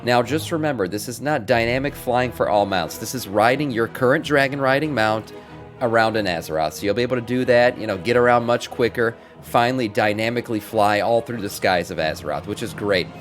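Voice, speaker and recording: A man's voice: mid-range voice, brisk. A middle-aged man hosting a gaming news stream, casually reading out updates with informal, mildly sweary asides to viewers. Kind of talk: casual livestream commentary with some reading aloud. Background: background music.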